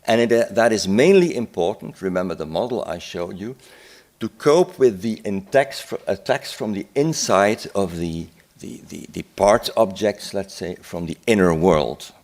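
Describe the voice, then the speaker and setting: Deep-voiced older lecturer. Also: male voice, lively intonation, a little mellow. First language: Dutch. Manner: reflective, unhurried, with filler "eh?" asides and restarts. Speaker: psychiatrist giving a conference talk. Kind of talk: lecture at a symposium. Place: conference hall.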